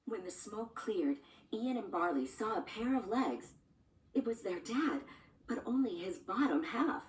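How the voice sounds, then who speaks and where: A woman's voice: mid-range voice, lively intonation, unhurried, slight background noise. A woman reading a scripted children's storybook as a read-along narrator, with music behind her. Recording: roomy, distant sound with music underneath.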